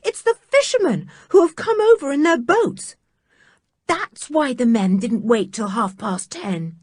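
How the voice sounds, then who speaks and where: An excitable young tomboy girl, as a woman narrator puts her on, pitched high and bursting with alarm or discovery. Her voice is husky with wide swings, read in a dry close space. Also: a little slow, no room sound. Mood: excited.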